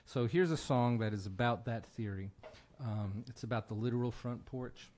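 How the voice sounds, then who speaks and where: A man's voice: medium pitch, moderate pace, a little mellow, a little hiss or hum. A man, a guest folk musician, talking casually and earnestly in a plain-spoken way as he introduces a song. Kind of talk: casual talk introducing a song at a live show.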